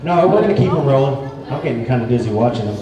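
A man's voice: mid-range voice, animated, fast, close to an everyday voice. A man playing a playful, teasing firehouse dog puppet, chatting and joking with children in a live safety show. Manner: jokey, chatty, egging the audience on. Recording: echoey mall, distant mic, audience noise.

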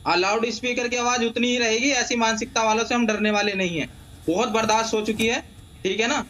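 A man's voice: extremely high pitch, steady tone, brisk, a bit bright, poor audio. A middle-aged man arguing as a guest in a heated TV debate over a video call, combative, repeating himself and cutting in. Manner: combative, repetitive, interrupting. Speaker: debate guest, Islamic scholar. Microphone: remote video call.

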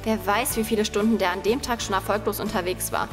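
A woman's voice: mid-range voice, steady tone, fast talker, in a large hall, music in the background, close to an everyday voice. A woman playing a sensible, matter-of-fact child detective in a children's radio play.

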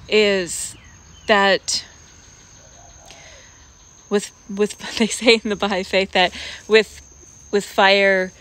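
An American woman in her forties talking casually and reflectively to camera, halting and thoughtful, with pauses. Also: mid-range voice, fairly bright tone. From United States expatriate raised around the Pacific Basin. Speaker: vlogger. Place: outdoors in a leafy garden, seated. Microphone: phone at arm's length. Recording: clear outdoor background noise, cricket-like ambience.

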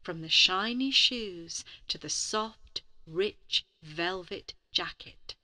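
Casting: woman narrator for children's bedtime stories, bright voice, slow, deliberate dramatic delivery. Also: fairly low pitch. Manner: dramatic, deliberate, with pauses and breathy whispered emphasis; addresses young listeners with questions. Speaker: storyteller for a gallery's bedtime stories.

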